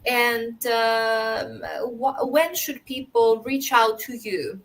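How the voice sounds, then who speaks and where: A woman's voice: a little high, takes it slowly. A woman hosting a video podcast who asks questions in a friendly, conversational way over a video call. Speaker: podcast host. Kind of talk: casual interview chat.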